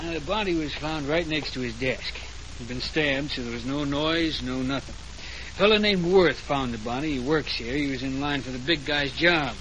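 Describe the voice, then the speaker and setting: An actor playing a brusque, impatient American police sergeant with gruff, slangy, bossy delivery in a 1940s radio detective drama. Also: male voice, medium pitch, normal speed, natural voice. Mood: brisk, sardonic. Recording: noisy old radio transcription.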